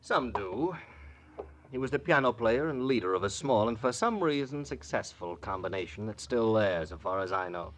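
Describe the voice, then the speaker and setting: Jaded society gent, swooping delivery. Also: male voice, lowish voice, lively intonation, moderate pace, fairly bright tone, slight background noise. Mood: offhand. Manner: affected, languid, dryly witty. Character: idle, jaded, martini-drinking society gentleman, lovelorn hanger-on. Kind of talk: 1940s radio drama acting.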